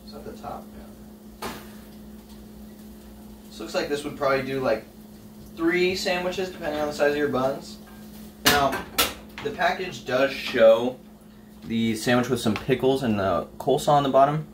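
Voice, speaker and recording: A man's voice: mid-range voice, normal speed, pauses often. Young man chatting in a home kitchen. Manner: chatty, offhand, lots of fillers. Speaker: home cooking vlogger. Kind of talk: casual cooking vlog. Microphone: camera on the counter, across the kitchen. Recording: roomy, distant camera audio with noise.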